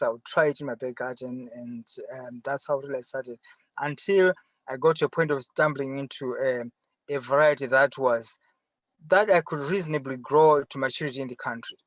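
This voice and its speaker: A middle-aged man with a Zimbabwean accent answering interview questions conversationally from inside a car, often pausing and rephrasing. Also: mid-range voice, no echo, low-quality recording. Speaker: interview guest, farmer. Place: sitting in a parked car.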